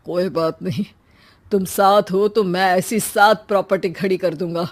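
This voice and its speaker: Casting: woman as middle-aged father in a family story, steady, forgiving, gently reproachful. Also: medium pitch, unhurried, natural voice. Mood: reassuring.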